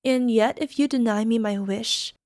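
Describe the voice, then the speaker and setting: High, sweetly obsessive alchemist girlfriend, acted by a woman. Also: normal speed, no echo, clean studio sound, natural voice. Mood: smug, possessive. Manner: soft, coaxing, giggly, slipping into whispers. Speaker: voice actor. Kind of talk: ASMR girlfriend roleplay. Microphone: close microphone, dry.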